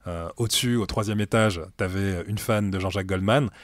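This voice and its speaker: A man in his late thirties speaks with a low, deep voice, calm and reflective, with frequent pauses as he works through his ideas. The sound is close and dry, with no room echo. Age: late 30s. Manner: relaxed, thoughtful, many hesitations. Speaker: music director at a streaming platform, guest. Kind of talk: casual conversational interview. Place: meeting room at the streaming company's office.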